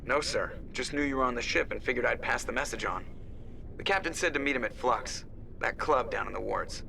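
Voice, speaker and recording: A bright, creaky male pilot talks casually and wryly in clipped phrases. He comes over a ship intercom, a bit distant. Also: mid-range voice, fairly quick, slight background noise. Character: casual, wry starship pilot. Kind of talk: video game voice acting.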